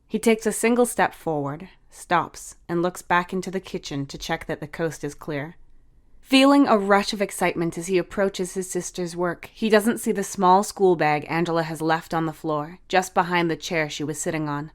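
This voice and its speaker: A woman's voice: lowish voice, normal speed. A woman narrating a scripted short story.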